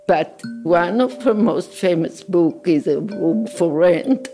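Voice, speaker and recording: An older woman speaking slowly and reflectively in an interview. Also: lowish voice, over music. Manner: slow, reflective.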